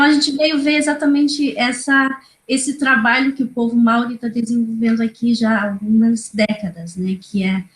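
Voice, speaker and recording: A woman in her thirties from Brazil, a native Kaingang speaker, talking as a guest educator on a video call, hesitant with many fillers and tag questions. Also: a little high. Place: small indoor room. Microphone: laptop video call. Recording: roomy video call audio.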